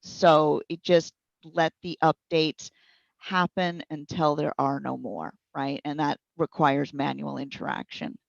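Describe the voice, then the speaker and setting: Woman, tech support interviewee. Low voice, chatty folksy explainer, dry lo-fi audio. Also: little pitch movement, a little slow, vocal fry, dry recording. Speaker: interviewed speech software trainer and support provider. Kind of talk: informal explanatory interview answers. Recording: dull, lo-fi audio.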